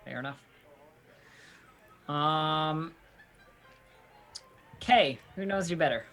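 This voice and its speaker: Bright higher-pitched man, casual game master. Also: very slow, soft background music, low-quality recording. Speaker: game master of a tabletop RPG stream. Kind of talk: casual group chat.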